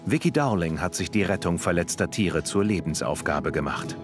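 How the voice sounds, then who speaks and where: Deep male narrator, calm scripted documentary read, music underneath. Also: moderate pace.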